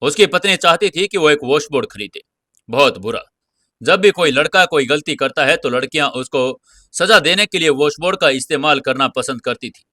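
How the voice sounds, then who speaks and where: A man's voice: a little high, animated, moderate pace, bright, forceful tone, no echo. A man narrating an audio serial in a dramatic, scripted storytelling style.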